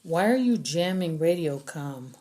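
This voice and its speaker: A man's voice: on the high side, expressive, measured pace, mellow. A narrator voicing a tough, threatening male soldier who interrogates in clipped demands, in an audiobook. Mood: commanding. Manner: gruff, demanding, clipped commands. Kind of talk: audiobook character acting.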